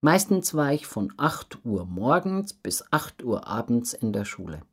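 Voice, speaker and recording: A woman with a very low-pitched voice answers questions in an interview. She speaks slowly and earnestly, with lively rises and falls in pitch, and puts her thoughts clearly. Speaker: interview guest, professional circus acrobat.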